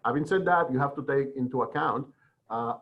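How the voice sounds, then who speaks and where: Middle-aged male medical expert, discursive webinar delivery, medium pitch, muffled lo-fi call. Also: a little fast. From Dominican Republic. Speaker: forensic pathologist, guest speaker. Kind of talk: explanatory webinar talk. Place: online video call. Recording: dull lo-fi web call audio.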